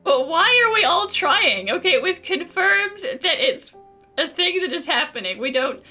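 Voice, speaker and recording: A woman's voice: very high-pitched, sing-song, unhurried, bright, forceful tone, soft background music. A woman giving casual commentary on a game playthrough, with joking, sarcastic asides. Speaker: gaming streamer.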